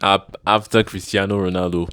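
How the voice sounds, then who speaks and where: A man's voice: low voice, little pitch movement, normal speed, a bit bright, clean tone, no echo, studio quality. A man with a Cameroonian accent, hosting a casual video talk and putting questions to his guest. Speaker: host, interviewer.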